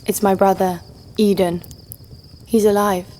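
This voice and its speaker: A woman playing a jaded, sardonic killer who narrates her own story in a deadpan way for an audio drama. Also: medium pitch, a little slow, noisy background, lightly acted. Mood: wry, bitter. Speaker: narrator and lead actor. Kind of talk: first-person scripted narration.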